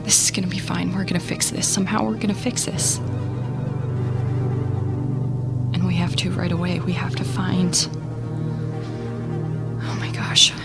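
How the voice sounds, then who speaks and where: Woman playing young student captain, scared n unsure but determined, kinda low voice, halting, audio drama w music under it. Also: steady tone, creaky. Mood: panicked, scared. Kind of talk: audio drama monologue as a recorded message.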